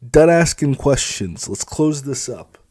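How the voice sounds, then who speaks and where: A man's voice: mid-range voice, very animated, unhurried, mellow, no room sound, voice pushed up. A man voicing a smug, crude club supervisor in an audiobook, dismissive and impatient with a sneering manner. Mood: exasperated, impatient. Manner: sneering, exasperated, mouthy. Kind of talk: dramatised audiobook dialogue.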